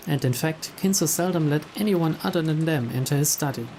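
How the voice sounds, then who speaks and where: A man narrating a visual novel playthrough, reading the story aloud in a measured way with small stumbles. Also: on the high side, darkish tone, poor audio. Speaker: narrator, game playthrough host. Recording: faint rain noise behind.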